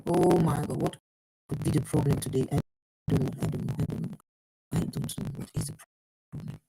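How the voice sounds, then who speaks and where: A woman's voice: deep voice, huge pitch swings, a little fast, vocal fry, dark tone, poor audio. Flustered woman hosting an online talk. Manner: flustered, troubleshooting aloud, many fillers and restarts. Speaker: host of an online program. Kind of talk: live online talk show. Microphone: earpiece.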